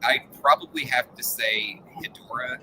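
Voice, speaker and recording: Hesitant man with a very bright voice. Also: mid-range voice, steady tone, normal speed, slight background noise, poor audio. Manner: hesitant, apologetic, hedging. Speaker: podcast guest. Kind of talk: casual group chat.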